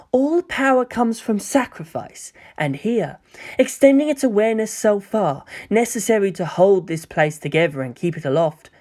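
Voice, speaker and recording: A man voicing a sly young angel-hybrid villain in a story, gentle, coy and theatrically musing. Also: sits high, pitched above the performer's own voice, heavily acted. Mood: smug lecturing.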